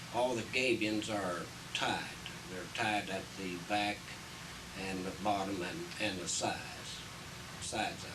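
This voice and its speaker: Slow, halting low-voiced man, distant noisy mic. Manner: halting, hesitant, pausing often mid-phrase. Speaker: city public works official being interviewed. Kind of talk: unscripted explanatory interview answers. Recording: distant mic, noisy 1980s video soundtrack.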